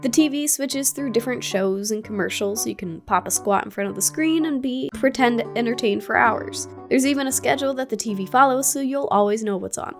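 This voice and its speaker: A woman's voice: on the high side, brisk, hardly any pauses, music in the background. A woman narrating a scripted gaming video essay in a curious, playful way, with casual asides. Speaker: gaming YouTuber.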